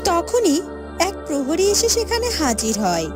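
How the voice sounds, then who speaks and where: Very high, animated woman narrator. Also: moderate pace. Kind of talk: scripted fairytale cartoon narration. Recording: loud music bed. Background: music.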